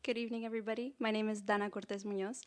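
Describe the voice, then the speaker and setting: A woman's voice: medium pitch, flat delivery, very fast, a little hiss or hum. A teenage girl from Mexico giving a composed, prepared speech as a student presenter. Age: teens.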